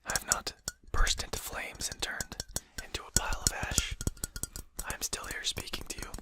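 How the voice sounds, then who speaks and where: A performer voicing a composed, courteous, faintly mocking vampire in a breathy, articulate whisper for an ASMR roleplay. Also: loud music behind the voice, lightly acted. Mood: calm, smug. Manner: slow, articulate, formal, gently mocking. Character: composed, courteous, condescending vampire addressing a hunter.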